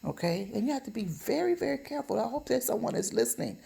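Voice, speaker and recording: A woman's voice: a little high, lively intonation, quick pace, gravelly, warm tone. A woman giving an informal relationship advice monologue, speaking emphatically and bluntly, admonishing and repeating phrases for stress. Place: at home.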